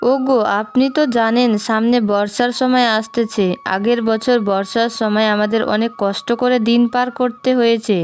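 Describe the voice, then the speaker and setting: Lady doing worried village wife in a cartoon, bit high, deferential and fretful, light music behind. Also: fairly even intonation, normal speed, close to an everyday voice. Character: anxious poor village wife, respectful to husband. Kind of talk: cartoon moral story dialogue.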